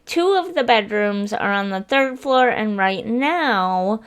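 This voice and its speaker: Woman, relaxed slow vlog voice-over, chatty and apologetic, clear tone with a faint congested roughness. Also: mid-range voice. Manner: chatty and apologetic, rambling with fillers. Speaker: gaming video creator. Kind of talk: casual voice-over commentary over a speed build.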